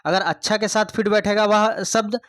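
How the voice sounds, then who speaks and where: A man's voice: high-pitched, fairly even intonation, moderate pace, fairly bright tone, dry recording, clean studio sound. A man teaching an online Hindi grammar lesson for exam prep. He repeats each point and keeps throwing rhetorical questions at the students. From India.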